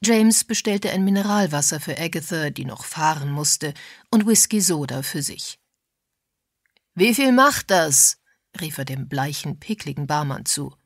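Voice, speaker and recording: Audiobook narrator: woman, low register, dry studio-like sound. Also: moderate pace, husky, no echo. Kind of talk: scripted literary narration with acted dialogue.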